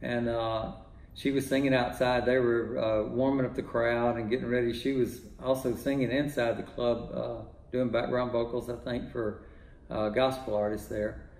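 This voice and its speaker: An older man from Texas, a music producer talking in a relaxed, rambling way full of fillers, in his home studio. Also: medium pitch, moderate pace, creaky, reverberant, low-quality recording. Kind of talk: casual interview talk.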